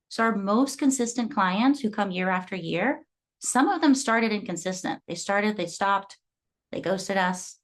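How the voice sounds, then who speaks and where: Earnest woman from Guatemala coaching fitness. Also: medium pitch. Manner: earnest, storytelling. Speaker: fitness coach and gym owner. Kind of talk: motivational talk.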